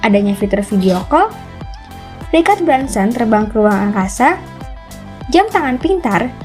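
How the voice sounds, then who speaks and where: A woman's voice: on the high side, darkish tone. A woman reading a scripted explainer narration as the narrator of a video channel. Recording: music bed under the voice. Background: background music.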